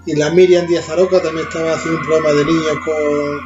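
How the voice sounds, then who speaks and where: Man from la mancha with a la mancha accent, jokey podcast co-host, kinda high voice, lo-fi sound. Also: level tone, quick pace. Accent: Spanish from La Mancha. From Spain, La Mancha. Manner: joking, teasing, hums song lines. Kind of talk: casual chat about childhood TV songs. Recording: dull lo-fi sound with faint music behind.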